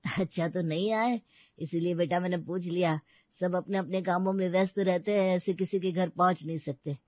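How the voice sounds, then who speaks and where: A woman's voice: fairly low pitch, steady tone, moderate pace, no echo, lo-fi sound, voice pushed down, close to an everyday voice. A woman voicing a considerate, hesitant elderly uncle-in-law in a family audio drama. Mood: polite, hesitant. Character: polite, considerate elderly uncle-in-law, gently self-effacing.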